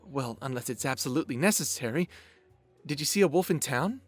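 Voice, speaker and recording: An earnest, level-headed werewolf played by a man with a medium-pitched voice. He is straightforward and conversational in an audio drama, with music underneath. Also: lively intonation, fairly quick, close to an everyday voice. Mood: concerned. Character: earnest, level-headed male werewolf pack member investigating.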